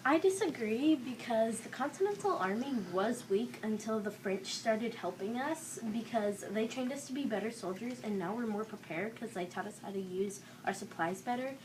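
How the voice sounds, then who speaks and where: A young female student arguing haltingly in a classroom debate. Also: on the high side, lively intonation. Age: child or young student. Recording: clearly noisy room.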